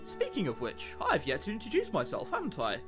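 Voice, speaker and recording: A man's voice: on the high side, huge pitch swings, brisk. A man voicing a friendly, reassuring female shadow ghost in a visual novel, with music behind. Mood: gently reassuring. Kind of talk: visual novel dialogue reading.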